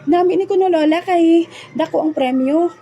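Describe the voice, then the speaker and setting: A woman's voice: very high-pitched, normal speed, mellow. A woman playing a cheerful, teasing young granddaughter in a comic audio drama. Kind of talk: comedic audio drama dialogue.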